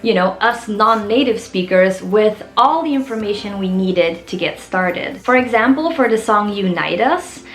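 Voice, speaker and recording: A woman's voice: medium pitch, animated, a little slow, few pauses, roomy sound. A woman with a Flemish accent and Dutch as her first language, casually talking to viewers about her music work in a chatty, friendly way with frequent fillers. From Belgium (Flanders). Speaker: musician and video creator. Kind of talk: casual behind-the-scenes talk to camera.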